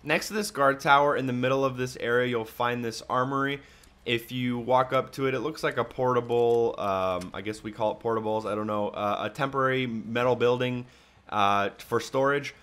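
A man's voice: mid-range voice, steady tone, normal speed, fairly bright tone. A man giving a casual, step-by-step walkthrough commentary as a video game guide narrator. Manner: instructional, step-by-step. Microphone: voice-over on a microphone.

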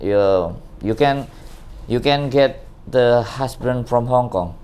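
Very low-voiced Indonesian woman interviewer. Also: slow pace, heavy background noise. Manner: laughs often, repeats "wow" and "okay". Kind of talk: casual chat in simple English.

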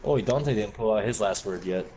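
A man's voice: fairly low pitch, moderate pace, mellow. Stammering man trash-talking while gaming. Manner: laughing, stammering trash talk. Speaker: gamer streaming online matches. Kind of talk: casual gaming chat.

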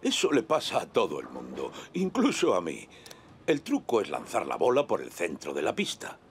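A man voicing a gentle, encouraging old grandfather in a children's cartoon, dubbed in Castilian Spanish. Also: low-pitched, huge pitch swings, normal speed, slight background noise, heavily acted. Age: older. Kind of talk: animated children's cartoon dubbing.

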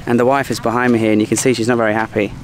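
A man in his thirties telling stories casually to camera as a travel vlogger, with an animated way of retelling things. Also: mid-range voice, rapid-fire. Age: 30s. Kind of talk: casual vlog storytelling to camera. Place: outdoors by a seaside sculpture park. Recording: clear outdoor background noise.

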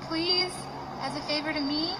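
A woman's voice: high voice, fairly even intonation, normal speed, close to an everyday voice. An actress playing an earnest, worried young female wrestler in a video game cutscene. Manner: pleading, earnest. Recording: distant noisy game audio.

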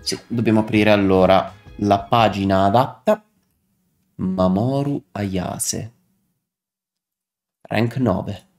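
A man's voice: lowish voice, slow, long pauses, a little mellow. A man in his thirties chatting and commenting on a live game stream, now and then slurring his words. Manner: sometimes slurred articulation. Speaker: streamer reading game dialogue aloud. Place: indoor room, streaming setup. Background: game music.

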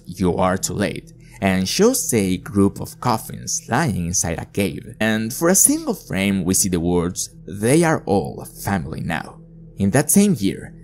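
A man's voice: on the low side, darkish tone, slight background noise. A man reading scripted narration, recapping and explaining a story as a video narrator.

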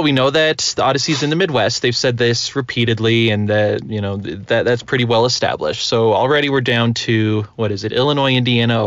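A man's voice: mid-range voice, a bit bright, dry recording. A man chatting casually as a podcast host. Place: inside a car. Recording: dull lo-fi, dry.

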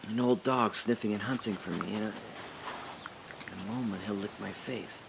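A man performing a reflective audio drama monologue, speaking slowly and often dropping to a whisper. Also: lowish voice, a bit bright, slight background noise. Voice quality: slow, gravelly and husky, often dropping to a whisper. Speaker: radio drama narrator and lead.

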